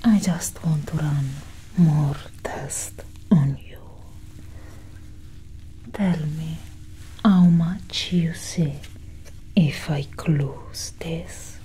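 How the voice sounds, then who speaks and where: A woman playing a curious, coolly possessive female alien doctor in a soft, whispered ASMR roleplay, breathy and close to the microphone. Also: low-pitched, slight background noise, rough recording. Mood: calm, clinical. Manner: slow, deliberate, hushed.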